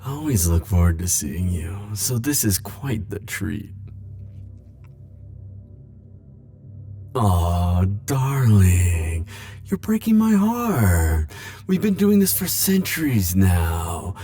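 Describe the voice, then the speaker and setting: Man playing low, slow, flirty immortal charmer. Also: big pitch swings, warm tone, soft background music, heavily acted. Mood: flirtatious, teasing. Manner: coy, theatrical, drawn-out teasing phrasing. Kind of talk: ASMR roleplay audio acting.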